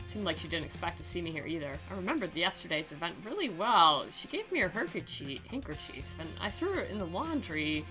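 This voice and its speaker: A woman narrates a visual novel with theatrical flair and sarcastic asides. Her voice is slightly low but bright and punchy, and music plays faintly behind it. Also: sing-song, fairly quick, few pauses. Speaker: game commentator and dramatic reader. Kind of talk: sarcastic visual novel read-aloud with commentary.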